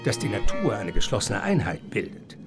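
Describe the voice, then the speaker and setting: Grandly lecturing professor, swooping and gravelly. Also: male voice, lowish voice, very animated, normal speed. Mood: emphatic, fervent. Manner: professorial, emphatic, rhetorical. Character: eccentric, learned male professor lecturing grandly on life and nature. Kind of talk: German radio play acting. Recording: radio play with music underneath.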